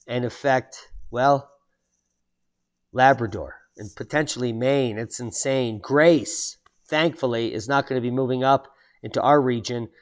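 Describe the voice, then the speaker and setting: A man hosting his own channel, reading weather and earthquake headlines aloud and breaking off for sardonic asides and sudden exclamations. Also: mid-range voice. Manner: exclaims loudly at surprising items. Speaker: channel host reading weather and geology news. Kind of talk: solo commentary reading headlines.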